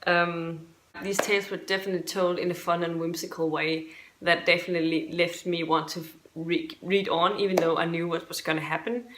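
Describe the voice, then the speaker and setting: Low-pitched Danish woman chatting casually. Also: steady tone, brisk, background noise. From Denmark. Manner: hesitant, rambling, self-correcting. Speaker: book reviewer and video host. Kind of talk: casual book review chat to viewers.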